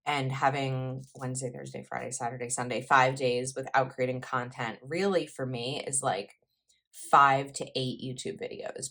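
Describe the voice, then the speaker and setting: Low-voiced woman chatting through her planner. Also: a bit bright. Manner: chatty and rambling, with fillers and self-corrections. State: slightly froggy voice from heavy talking. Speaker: planner YouTuber. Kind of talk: casual planner vlog chat.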